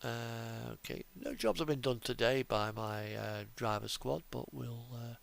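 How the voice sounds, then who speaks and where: A man's voice: mid-range voice, measured pace, creaky, a bit bright. A man giving casual, chatty game commentary as a streamer, hesitant and often trailing off mid-thought. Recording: music playing behind the voice. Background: music, truck engine sounds from game.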